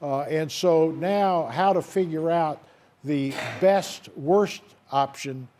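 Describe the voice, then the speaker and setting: A man's voice: on the high side, slow, dark tone. A man speaking as a debate guest, reflective and hesitant. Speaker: panel guest. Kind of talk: live debate contribution.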